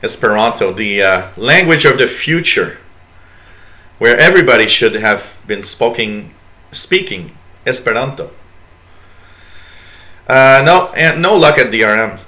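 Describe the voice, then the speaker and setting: Bright-voiced French-Canadian man, chatty host. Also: mid-range voice, animated, unhurried, long pauses. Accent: Quebec French. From Quebec, Canada. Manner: rambling, reads chat messages aloud, many fillers. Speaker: radio show host. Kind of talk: casual live-stream chat.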